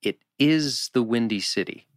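A man's voice: mid-range voice, unhurried, no room sound, studio quality, natural voice. A man acting a supportive, teasing teenage boyfriend at a teen drama table read.

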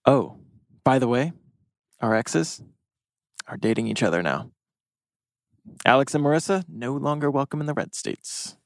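A man's voice: medium pitch, lively intonation, moderate pace, gravelly, long pauses, no room sound, studio quality. A man playing a nerdy, wry, hopelessly romantic teenage boy in a drama table read, hesitant, wordy and joking.

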